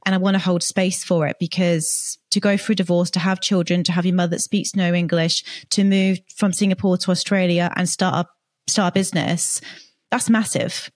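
Enthusiastic woman host, coach, lowish pitch, dry close mic. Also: dry recording. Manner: enthusiastic, affirming, talks in long runs. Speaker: podcast host and career coach. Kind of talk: interview chat.

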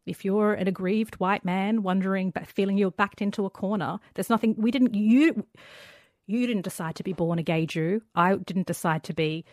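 A woman's voice: mid-range voice, steady tone, moderate pace, darkish tone, dry recording, studio quality. A young adult woman with an Australian accent, a guest author speaking candidly and emphatically in an interview. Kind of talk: conversational interview.

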